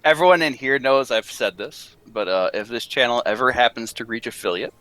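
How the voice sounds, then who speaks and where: A man's voice: mid-range voice, fairly quick, pushed and bright, no echo, low-quality recording. A young man chatting casually as a cast member of a live script-reading show. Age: young adult. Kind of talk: casual chat.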